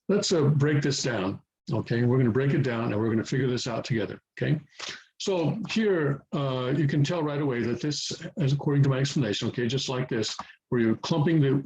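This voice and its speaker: Man tutoring at home, mid-pitched, patient, repeats and checks in, casual lesson. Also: a little mellow, rough recording. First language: English. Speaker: Korean reading teacher. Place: home near a highway, passing traffic.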